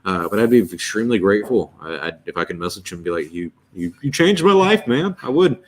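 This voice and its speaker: A man's voice: fairly low pitch, big pitch swings, fast talker. A man in his twenties hosting a casual livestream, rambling and restarting as he reads chat and chats aloud. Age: 20s. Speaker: streamer host.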